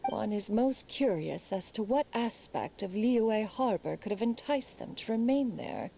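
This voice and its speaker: A woman's voice: mid-range voice, lively intonation, unhurried, creaky, warm tone, slight background noise. A woman voicing a proud, aloof immortal elder master in a fantasy game, with formal, archaic, haughty diction. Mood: wistful, reflective. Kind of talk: animated fantasy game acting.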